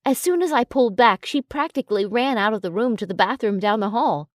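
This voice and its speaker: A woman narrating an audiobook in a calm, even storytelling manner. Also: fairly high pitch, brisk, no room sound, clean studio sound. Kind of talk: audiobook narration of a romance novel.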